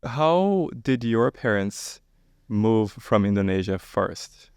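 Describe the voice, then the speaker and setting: A man hosting a podcast in a small studio, asking his guest questions briskly. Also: fairly low pitch, animated, a little mellow, smooth, no echo. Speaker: podcast host. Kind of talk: podcast interview. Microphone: boom mic on stand.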